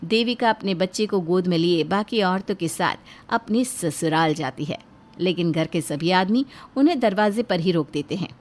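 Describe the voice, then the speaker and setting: A woman's voice: on the low side, lively intonation, normal speed. A woman reading scripted narration for a moral story as its storyteller.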